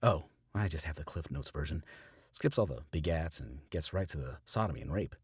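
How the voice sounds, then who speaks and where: A man's voice: a bass voice, expressive, brisk, breathy edge, no echo, full character voice. A man voicing a dry, smirking companion who trades sarcastic remarks. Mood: wry.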